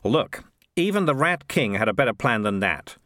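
A man's voice: medium pitch, moderate pace, dry recording, studio quality. A man voicing a battered, grumpy male cat in a storybook, gloomy and dryly sarcastic. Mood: weary scorn. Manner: gloomy, sighing, deadpan sarcasm.

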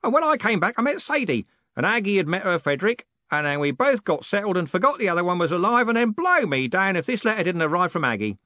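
A loud, hearty old merchant who bellows every line with bullish confidence, played by a man. His voice rides high and swings widely. The sound is dry and a little dull. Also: sing-song, fast talker, no echo, full character voice. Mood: booming hearty. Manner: bellowing, booming.

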